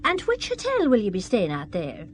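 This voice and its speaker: A woman's voice: medium pitch, wildly swooping pitch, moderate pace, a little hiss or hum. An actress playing a chatty, friendly middle-aged Irish countrywoman in an audio drama. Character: chatty middle-aged Irish mother and weaver travelling abroad.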